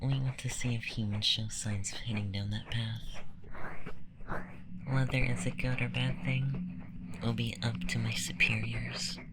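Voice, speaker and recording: A man playing a haughty, clinical femboy alien in an ASMR roleplay, speaking softly and often in a breathy whisper with formal, condescending, measured delivery. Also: medium pitch, flat delivery, noisy background, natural voice. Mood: calm, clinical.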